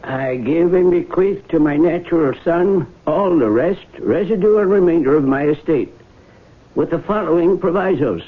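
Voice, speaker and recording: A man acting a fussy, formal country lawyer in a 1970s radio drama, husky and apologetic. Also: a little high, animated, normal speed. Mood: officious. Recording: thin phone-like old radio broadcast.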